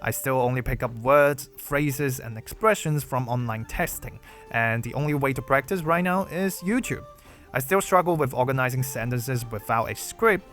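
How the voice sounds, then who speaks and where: A young man from China with a Chinese accent, a Mandarin native speaker, reading out a Q&A video in a measured, careful way. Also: medium pitch, a bit bright, soft background music. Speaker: gaming YouTuber. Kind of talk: read Q&A video narration.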